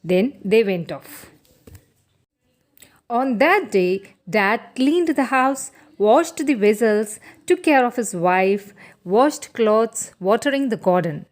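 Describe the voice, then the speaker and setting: A woman narrating a scripted bedtime story for children in an expressive, teacherly way. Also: a little high, slow pace.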